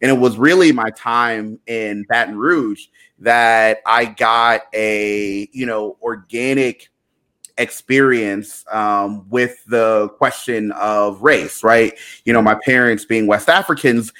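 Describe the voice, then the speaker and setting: A man in his thirties, a pastor born in Liberia and raised in Louisiana, talks as a podcast guest. His voice sits somewhat low, and his delivery is enthusiastic but halting, full of uhs and ums. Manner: warm, enthusiastic, halting with many fillers. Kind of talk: podcast interview.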